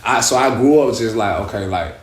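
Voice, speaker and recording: Young man, Atlanta Southern accent, mid pitch, streetwise animated talk, roomy echo. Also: a little fast, over a noisy crowd. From east side of Atlanta, Georgia. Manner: streetwise, profane, animated storytelling. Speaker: rapper guest. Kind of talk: casual interview talk. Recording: echoey room.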